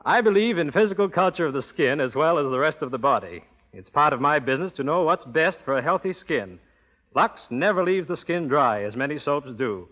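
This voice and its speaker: A man's voice: a little high, animated, brisk. An American man giving a scripted promotional talk as a guest expert on 1930s radio. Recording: muffled old radio broadcast.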